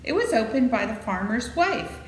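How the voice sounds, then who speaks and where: A woman reading a children's story aloud in an expressive, playful way, with dramatic emphasis and asides to her listeners. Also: on the high side, normal speed. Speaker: library storytime reader. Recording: echoey room with faint noise.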